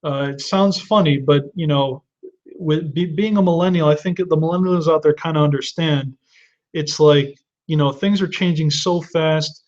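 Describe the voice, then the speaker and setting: A man in his thirties hosts a live webinar and answers viewer questions one by one. His voice sits a touch high, and he gives earnest advice, often restarting mid-sentence. The audio is dull and lo-fi. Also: steady tone, moderate pace, a little mellow. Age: 30s. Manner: earnest advice-giving with frequent restarts and fillers.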